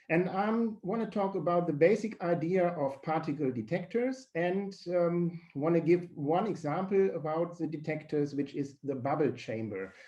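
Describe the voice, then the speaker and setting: A man with a German accent, a teacher presenting physics slides on a video call in earnest, slightly halting phrasing. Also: on the high side, warm tone.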